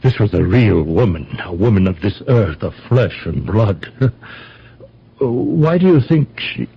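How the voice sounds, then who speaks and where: Flustered male guest, stammering, lively swooping pitch, old radio drama, dull lo-fi sound. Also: measured pace, slightly rough, heavily acted. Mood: agitated, insistent. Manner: stammering, repeating words, agitated. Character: flustered, lovestruck male house guest, insistent and earnest.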